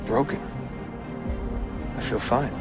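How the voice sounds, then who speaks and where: A man's voice: slow pace, lightly acted. A male actor playing a bewildered, frustrated young man trapped in a simulation, blunt and alarmed, in a video game. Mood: confused, skeptical. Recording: echoey, distant, music behind.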